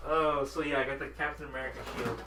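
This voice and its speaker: A man's voice: mid-range voice, steady tone, fast. Giggly man chatting, noisy recording. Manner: giggly, often laughing. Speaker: podcast co-host. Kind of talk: casual chat about games and anime.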